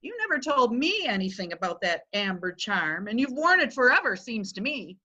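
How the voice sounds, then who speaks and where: A woman's voice: a little high, big pitch swings, moderate pace, pushed and bright, dull audio, exaggerated voice. A woman playing a tart old society lady. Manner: tart, incredulous and teasing. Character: sharp-tongued elderly society lady, skeptical. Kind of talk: readers theatre reading.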